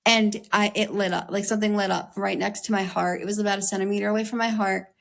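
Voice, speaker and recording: A young American woman, a guest in an interview, speaking candidly in an earnest, conversational way with a slightly raspy voice and many "like"s and "um"s. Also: medium pitch, level tone, quick pace. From United States. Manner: earnest, heavy use of "like" and "um".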